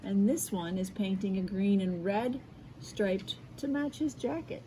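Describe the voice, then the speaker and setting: Unhurried, animated American woman reading to children. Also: medium pitch, slight background noise, rough recording. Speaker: school media specialist reading aloud. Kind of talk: expressive children's picture-book read-aloud with explanatory asides.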